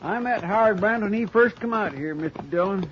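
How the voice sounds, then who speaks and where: An actor playing a folksy, deferential frontier sidekick with a drawling rural Western American accent in a 1950s radio western. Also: male voice, high-pitched, fairly quick, lightly acted. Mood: earnest. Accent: rural American West. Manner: drawling, homespun, respectful. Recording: dull old radio, clear hiss.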